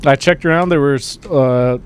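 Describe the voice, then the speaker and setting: A man with a slightly high voice talks in a casual, conversational style. He sounds like the producer chiming in on a talk show. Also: moderate pace, clean tone. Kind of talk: casual chat.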